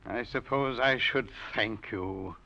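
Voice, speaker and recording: An actor playing a proud, irritable college dean who is cornered and guilty, defensive and faltering, in an old-time radio mystery. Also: male voice, medium pitch, takes it slowly, vocal fry, bright, forceful tone, exaggerated voice. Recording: muffled vintage radio drama recording.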